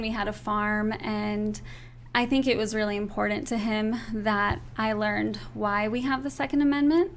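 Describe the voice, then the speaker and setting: A woman's voice: medium pitch, a little hiss or hum. A young woman, raised in Turkey, giving an interview and laying out her views point by point. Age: young adult. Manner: earnest, lays out points in order. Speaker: interview guest. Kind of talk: earnest political interview.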